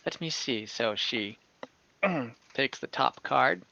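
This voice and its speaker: A man running an online tabletop game as game master, narrating actions step by step in a relaxed way. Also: medium pitch, takes it slowly, gravelly, lo-fi sound.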